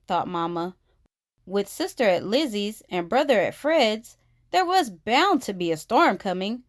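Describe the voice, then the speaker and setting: A woman reading a children's story aloud with expressive, dramatic emphasis. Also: medium pitch, no room sound, studio quality. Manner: animated, dramatic emphasis for young listeners.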